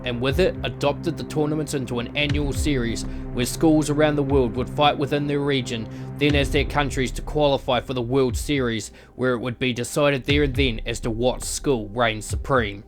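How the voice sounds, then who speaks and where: A man delivers scripted narration for a visual novel. His voice sits at an average male pitch. Music plays clearly behind him as he reads in the role of announcer and storyteller.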